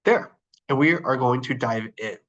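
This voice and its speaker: A man's voice: medium pitch, moderate pace, dull audio. A man with a nasal, flu-congested voice leading an online training session, talking with plenty of ums and uhs as he clicks through his screen. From Arizona. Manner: upbeat, filler-heavy, talking while clicking through screens.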